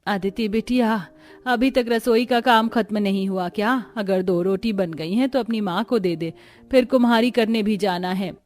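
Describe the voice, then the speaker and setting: A woman's voice: mid-range voice, normal speed, darkish tone, close to an everyday voice. Woman acting weary, poor village potter mother. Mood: weary, resigned. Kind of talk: Hindi cartoon story voice acting.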